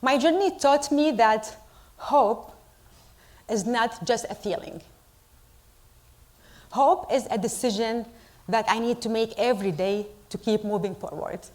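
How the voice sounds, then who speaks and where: Middle-aged Iraqi-born woman, fairly high voice, earnest deliberate speech to a crowd, English. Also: a little mellow. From Iraq. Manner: earnest, deliberate, with pauses for emphasis. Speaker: storyteller at a community event. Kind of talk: speech to a live audience.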